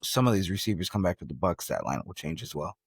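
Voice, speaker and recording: A man's voice: deep voice, sing-song, quick pace, creaky, husky, no room sound, studio quality. A man hosting a podcast, chatting casually about sports in a slangy, bro-ish way. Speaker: podcast host.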